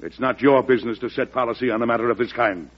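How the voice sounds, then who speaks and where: An actor playing an exasperated, authoritative American president in a classic radio drama, with firm, pointed delivery and a husky voice. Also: male voice, medium pitch, steady tone, fast talker, natural voice. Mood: angry, commanding. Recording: muffled old radio recording.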